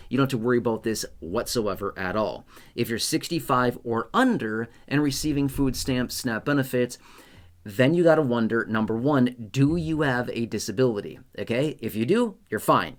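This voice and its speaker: Man, channel host, casual explainer, reassuring, repetitive, frequent okay check-ins, close mic. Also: mid-range voice.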